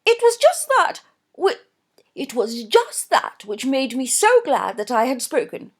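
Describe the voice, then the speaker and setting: A woman voicing a fussy, emphatic middle-aged mother with insistent, high-pitched exclamations. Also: sing-song, a little slow, husky, exaggerated voice.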